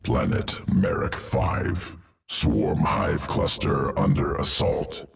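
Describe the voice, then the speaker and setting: A man's voice: a bass voice, steady tone, slow pace, heavily acted. A man playing an ancient, cold, menacing alien creature in a video game, with a guttural voice and clipped pronouncements. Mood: clinical, detached. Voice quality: gravelly, guttural. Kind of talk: video game cutscene acting.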